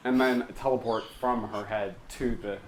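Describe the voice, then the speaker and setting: A man's voice: medium pitch, fairly even intonation, moderate pace, background noise. A man playing a tabletop fantasy game, chatting casually as he describes what his character does.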